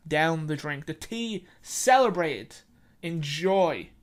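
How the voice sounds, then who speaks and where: A person with an Irish accent narrating a short story, with a halting, self-correcting delivery. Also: medium pitch, expressive.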